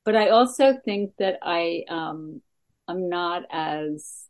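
A middle-aged woman stand-up comedian with a gravelly voice, talking in a halting, casual interview with many fillers. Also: a little mellow. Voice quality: gravelly, deeper voice.

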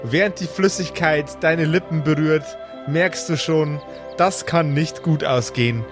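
A man narrating a tabletop role-playing game as game master, with measured, deliberate storytelling phrasing. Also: a little high, over music.

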